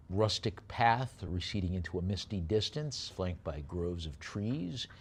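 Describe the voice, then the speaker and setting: Male auctioneer, lower-pitched voice, live bidding patter mixed with catalogue-style lot descriptions. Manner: rapid repeated bid chant alternating with unhurried item descriptions.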